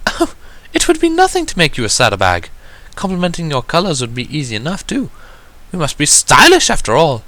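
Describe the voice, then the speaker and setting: A man's voice: on the high side, huge pitch swings, fairly quick, bright, forceful tone, voice pushed up, heavily acted. A man voicing an elegant, reassuring young female pony, a fashion designer with refined, polished phrasing. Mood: eager.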